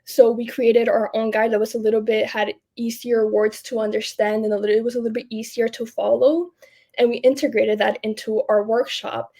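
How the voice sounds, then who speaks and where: A woman's voice: a little high, little pitch movement, brisk, mellow. A woman in her twenties, a student researcher, explaining things conversationally as an interview guest. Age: 20s. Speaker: podcast guest, public health student researcher.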